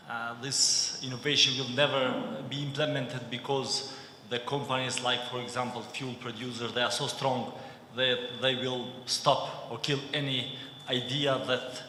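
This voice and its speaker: A man in the audience puts a question, hesitant with many pauses. His tone is bright and tense. The hall adds a long echo and a faint hiss. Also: medium pitch. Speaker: audience member asking a question. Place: lecture hall. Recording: echoey hall, faint noise.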